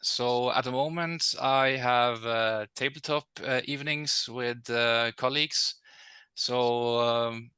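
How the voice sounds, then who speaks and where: Norwegian-accent guy, hesitant, lots of uhs, bright smooth voice. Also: medium pitch, fairly even intonation, no room sound. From Norway. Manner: hesitant, many pauses and fillers. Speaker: podcast guest.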